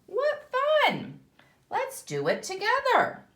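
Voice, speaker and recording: A woman voicing an excitable, naive young male pollywog in a storybook, eager and trusting. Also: very high voice, takes it slowly, roomy sound, pitched above the performer's own voice, full character voice. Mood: happy, eager. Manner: eager, wide-eyed.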